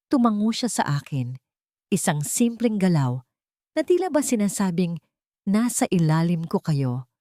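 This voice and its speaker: A woman's voice: on the low side, darkish tone, no room sound, studio quality. A woman reading a scripted first-person story in a dramatic narrating style.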